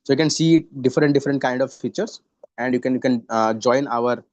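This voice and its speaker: A man's voice: medium pitch, brisk, darkish tone, dry recording, muffled recording. A man with an Indian English accent teaching an online exam-prep class on current affairs, often repeating himself and saying "you know". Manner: repeats phrases, fillers like "you know", mixes in Hindi.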